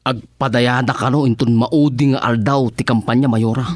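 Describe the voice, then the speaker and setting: A man's voice: medium pitch, steady tone, normal speed, close to an everyday voice. A man with an Ilocano Filipino accent playing a loyal, money-minded village councilor in a radio comedy-drama. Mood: eager.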